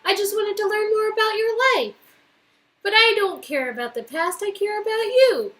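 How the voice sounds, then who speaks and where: A woman voicing a caring young woman who is comforting her boyfriend, in a soft, reassuring way. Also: very high voice, normal speed, pitched above the performer's own voice. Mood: tender. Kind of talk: reading game dialogue aloud.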